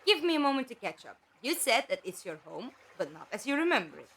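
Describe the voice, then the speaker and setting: An actress in a fan audio drama playing a wry, sceptical, questioning companion to a time traveller. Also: female voice, a little high, big pitch swings, quick pace, fairly bright tone, slightly rough, exaggerated voice. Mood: suspicious.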